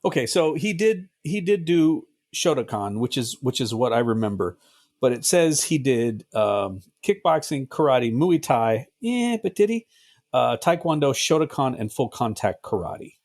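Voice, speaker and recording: A middle-aged man co-hosting a podcast, talking loosely with jokes and curses. His voice sounds husky and a little rough. He sits at a middle pitch and talks in easy bursts. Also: sing-song, unhurried, darkish tone. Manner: jokey, slips into silly mock voices and swears. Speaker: podcast co-host. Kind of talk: casual chat.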